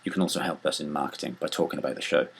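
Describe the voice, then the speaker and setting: Man, spokesman, rambling appeal, homely, deep husky voice. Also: rapid-fire. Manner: rambling, homely, loose wording. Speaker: theatre company spokesman. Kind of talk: informal appeal to listeners.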